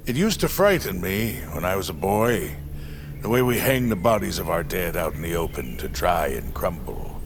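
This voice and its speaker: An actor playing a gruff, kindly older workmate in a fantasy audio drama, folksy, chiding and halting. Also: male voice, sits low, big pitch swings, background noise, full character voice. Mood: gruff concern. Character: gruff, kindly old goat-folk workmate, watchful and fussing. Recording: loud music behind the voice. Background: dramatic music.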